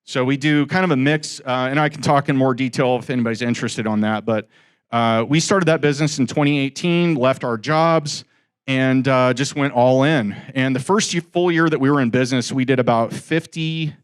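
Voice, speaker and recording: A man's voice: medium pitch, normal speed, smooth. A man in his forties giving an informal talk to a live audience in a room, chatty and joking, teasing listeners in asides. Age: 40s. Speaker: guest speaker at an investor meetup.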